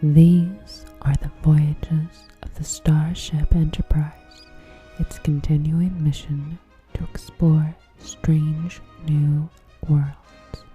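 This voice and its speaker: A woman narrating a scripted sci-fi introduction in a hushed, measured ASMR style. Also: deep voice, little pitch movement, rough recording. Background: music.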